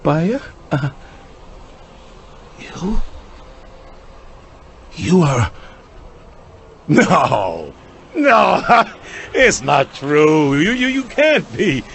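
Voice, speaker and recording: A man's voice: fairly high pitch, huge pitch swings, drawn-out words, vocal fry, long pauses, fairly bright tone, a little hiss or hum, heavily acted. An actor playing a frightened, disbelieving male doctor who stammers and pleads in a horror-comedy radio drama. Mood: nervous disbelief.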